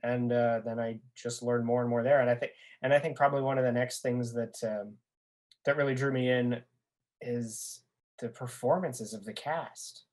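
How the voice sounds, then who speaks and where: A man's voice: medium pitch, steady tone, moderate pace, darkish tone. A young man chatting casually and enthusiastically as a podcast guest. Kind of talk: casual chat.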